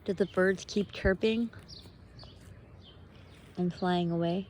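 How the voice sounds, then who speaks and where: A woman giving an unscripted, reflective monologue while out walking in nature, thinking aloud in halting, drawn-out phrases. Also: on the low side, steady tone, a little mellow, a little hiss or hum. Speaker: host of a personal walking monologue. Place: outdoors on a walk in nature.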